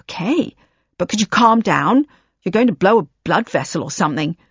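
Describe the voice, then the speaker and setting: A woman's voice: mid-range voice, animated, moderate pace, breathy edge, no echo, dull audio. Sulky teenage girl, voiced by a female reader, dry close sound.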